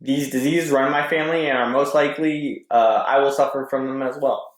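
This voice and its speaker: A man's voice: medium pitch, fairly even intonation, a little fast. A man guesting on a podcast, reading posts aloud and chatting casually with some hesitant stumbles. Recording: roomy echo.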